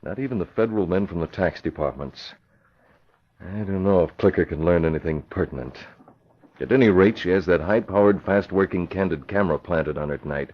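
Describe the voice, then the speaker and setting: An actor playing a composed, decisive newspaper boss in an old-time radio crime drama, speaking matter-of-factly on a muffled recording. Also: male voice, low-pitched, brisk. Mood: brisk, resolute.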